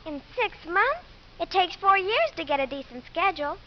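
A woman's voice: very high voice, sing-song, moderate pace. A woman playing a precocious, streetwise eleven-year-old girl with a matter-of-fact, wisecracking delivery in a radio drama. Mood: breezy, cheeky. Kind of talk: 1950s radio acting. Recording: hissy, dull 1950s radio recording.

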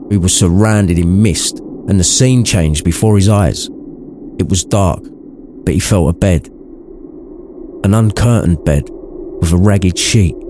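A man's voice: low voice, noisy background. A man narrating a story in an irreverent comic style, with wry, sweary asides. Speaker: narrator, podcast reader.

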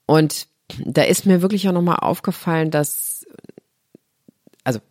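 A woman's voice: low-pitched, measured pace, a little mellow, no echo, studio quality. A middle-aged woman from Germany, a guest on a podcast talking about her health platform, explaining things earnestly and at length. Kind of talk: podcast conversation.

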